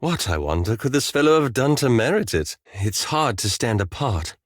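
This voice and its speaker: An actor voicing a prim, bookish elf wizard in a fantasy video game, speaking formally and precisely with dry sarcasm. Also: male voice, medium pitch, very animated, normal speed, dry recording. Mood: wry, exasperated.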